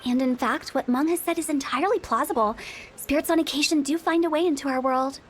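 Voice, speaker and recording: A woman's voice: sits high, fast, slight background noise, natural voice. A woman voicing a breezy, mischievous funeral director. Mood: matter-of-fact. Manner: breezy, confident, teasing. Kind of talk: game voice acting.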